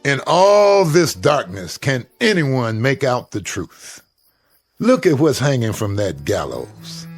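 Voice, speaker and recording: An actor playing a reverend who preaches solemnly and quotes scripture at a crowd, over background music. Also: male voice, fairly low pitch, exaggerated voice. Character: grim male preacher warning a crowd.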